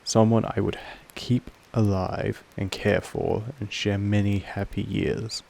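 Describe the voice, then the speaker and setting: Man playing a slow, gravelly, possessive vampire master. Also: level tone, a little mellow. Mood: possessive, tender. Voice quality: low, creaky. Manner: slow, deliberate, measured delivery with weighty pauses. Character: possessive, patient, commanding male vampire master. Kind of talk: scripted roleplay audio monologue addressed to the listener.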